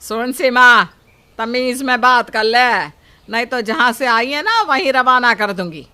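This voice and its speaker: महिला की आवाज़ में तीखी, ताने मारती सास. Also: female voice, a little high, unhurried, bright, forceful tone, clean tone. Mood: bossy. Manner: nagging, bossy. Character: scolding, greedy mother-in-law with a sharp tongue. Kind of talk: animated moral story.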